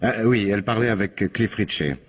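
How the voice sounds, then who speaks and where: An actor playing an aloof, sardonic film assistant under questioning in a French radio crime drama, guarded and dryly disdainful. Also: male voice, fairly low pitch, fairly quick, husky. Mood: hesitant, guarded. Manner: hesitant recall, dry disdain.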